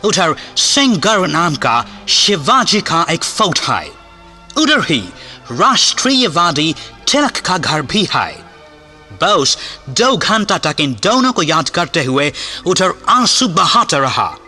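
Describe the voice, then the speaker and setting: An actor playing a stern, pompous British colonial governor in a radio play, speaking deliberately in British-accented Hindi with English phrases. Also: male voice, on the high side, big pitch swings, pushed and bright, exaggerated voice. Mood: grave, indignant. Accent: British-style accented Hindi, put on. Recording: music behind the voice.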